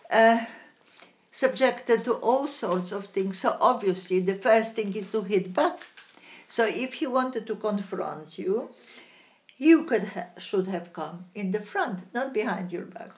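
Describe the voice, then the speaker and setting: An older woman with a Polish accent, a retired teacher telling stories in an interview, lively and halting with many restarts. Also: mid-range voice. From Poland. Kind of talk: interview storytelling.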